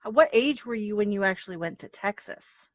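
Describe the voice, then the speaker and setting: A woman's voice: medium pitch, lively intonation, moderate pace, no echo, studio quality. A woman hosting an interview show, bubbly and effusive, laughing often. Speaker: podcast host. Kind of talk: casual interview chat.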